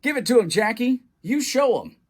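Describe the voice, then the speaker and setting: A man's voice: very high voice, animated, normal speed, voice pushed up. A man voicing an eager, earnest young boy who loves baseball, in a children's storybook reading. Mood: excited.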